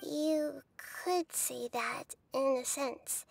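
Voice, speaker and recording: A wise girl goddess from a game, her high voice calm and gentle as she recounts the past. She tells the story in an even, soft manner. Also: extremely slow, creaky, heavy background noise, heavily acted. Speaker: narrator of memories. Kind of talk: game voice acting.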